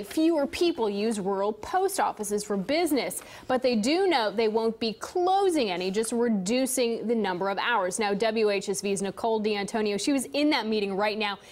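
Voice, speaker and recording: An American woman reading scripted local television news as an anchor. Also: medium pitch. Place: TV news studio.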